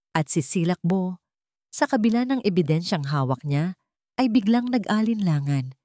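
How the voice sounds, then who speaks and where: Filipino lady narrating a dramatic audio story, low voice, really dry close sound. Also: a little mellow, no room sound.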